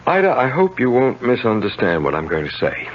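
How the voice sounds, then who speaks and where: An American actor playing a patient, tactful family doctor in a radio drama, earnest and measured in manner. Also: male voice, medium pitch, wildly swooping pitch. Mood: earnest, cautious. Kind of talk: 1970s radio drama acting. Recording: dull, noisy 1970s radio recording.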